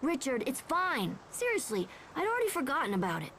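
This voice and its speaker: A woman's voice: high voice, huge pitch swings, brisk, a bit bright, a little hiss or hum, heavily acted. A woman voicing a brave, protective, cocky young boy hero in a video game, with an eager, plucky delivery. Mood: friendly, encouraging.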